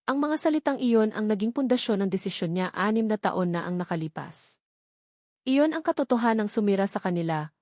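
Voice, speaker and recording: A woman's voice: mid-range voice, darkish tone, no echo, studio quality. A woman with a Filipino accent reading a scripted dramatic story as its narrator.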